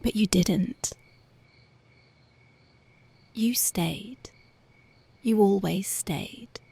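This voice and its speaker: Woman doing a young punk girlfriend, ASMR roleplay, soft close whispers, unhurried, dry and near. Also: mid-range voice, vocal fry, husky, dry recording, full character voice. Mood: tender, dreamy. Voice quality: soft, intimate, partly whispered. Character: tough, devoted young punk girlfriend, tender at night. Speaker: voice actress.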